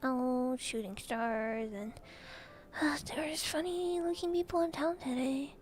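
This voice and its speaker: A woman's voice: high voice, takes it slowly, soft background music. A woman voicing a timid middle-aged husband in a storybook, nervous, hesitant and mumbling. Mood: hesitant, casual.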